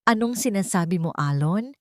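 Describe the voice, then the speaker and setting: A woman's voice: medium pitch, expressive, normal speed, darkish tone, no room sound, studio quality, close to an everyday voice. A woman voicing a wrongly accused young wife, shocked, hurt and defiant, in a dramatized audio story. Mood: shocked, defensive.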